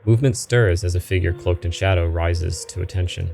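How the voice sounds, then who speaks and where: A man with a fairly low voice reads a story game aloud as its narrator. Faint game music plays behind him as he gives scene descriptions in a let's-play. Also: fairly quick, gravelly, mellow. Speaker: video game player reading a story game aloud, voicing the characters.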